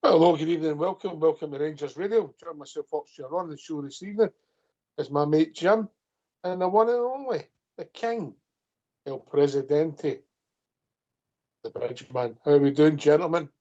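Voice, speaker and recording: A man's voice: on the high side, normal speed, warm tone. A man hosting a fan radio phone-in, teasing his guests and laughing often. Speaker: host. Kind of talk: casual phone-in chat. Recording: muffled phone-in line.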